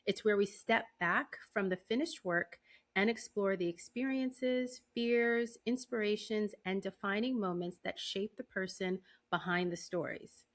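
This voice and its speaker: A woman's voice: mid-range voice, measured pace. A woman speaking as the host of a podcast, introducing and interviewing in a conversational setting.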